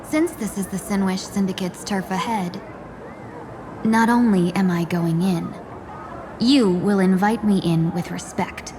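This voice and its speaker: A woman playing a cool, delicate-looking merchant who poses as a deadly assassin in a video game, speaking in a composed, measured way with veiled threats. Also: medium pitch, background noise, close to an everyday voice. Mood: cool menace. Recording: loud background music.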